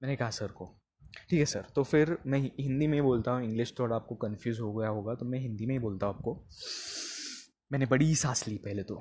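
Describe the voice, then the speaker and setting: A man's voice: mid-range voice, fast, warm tone, slight background noise. A young man telling a comic first-person story as a podcast host, acting out dialogue and repeating phrases for emphasis.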